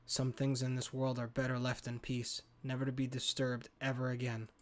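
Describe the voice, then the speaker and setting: A man's voice: mid-range voice, completely flat delivery, moderate pace. A man reading a horror game's story narration aloud as a gaming host. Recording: clear background noise.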